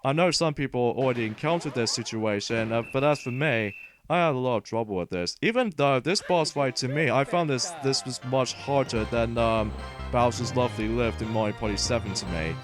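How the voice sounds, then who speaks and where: Rambling man commentating over game music. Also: mid-range voice. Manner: rambling, halting explanations. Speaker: let's play host. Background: video game music.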